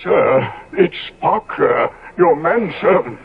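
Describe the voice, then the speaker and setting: An anxious, devoted manservant in vintage radio drama style. The voice sits on the high side and moves animatedly up and down, always deferential. It comes through a thin, narrowband old radio recording. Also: male voice, lively intonation, slow, slightly rough, full character voice. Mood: worried. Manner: deferential, hesitant when troubled. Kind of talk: 1970s radio drama acting.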